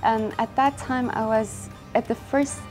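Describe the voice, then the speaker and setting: A woman's voice: fairly high pitch, fairly even intonation, unhurried. Earnest young woman, faint music behind. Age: young adult. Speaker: fashion brand founder. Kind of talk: interview monologue.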